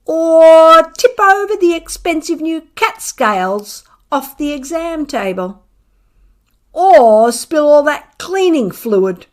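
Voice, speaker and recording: A woman's voice: high voice, very animated, takes it slowly, voice pushed up, full character voice. A woman voicing a smug, scornful pet cat who tells its tale with dramatic, sardonic emphasis in a children's audiobook. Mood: scornful, indignant.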